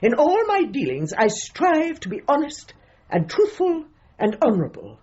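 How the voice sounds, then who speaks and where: An actress playing an opinionated older British dog trainer, a widow who speaks formally and precisely in a radio comedy drama. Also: female voice, high-pitched, huge pitch swings, moderate pace, darkish tone, lo-fi sound. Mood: indignant, world-weary. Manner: formal, emphatic, precise, old-fashioned phrasing.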